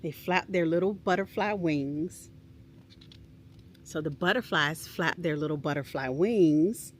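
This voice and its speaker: A woman hosting a children's storytime, speaking expressively and encouragingly to young listeners in a deliberate way. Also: low voice, a little hiss or hum. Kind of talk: expressive read-aloud for preschoolers.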